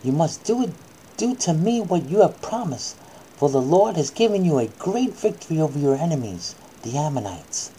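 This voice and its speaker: A woman's voice: sits low, sing-song, moderate pace, mellow, a little hiss or hum, poor audio. A woman voicing an obedient, self-sacrificing young daughter in a Bible story, earnest and resigned.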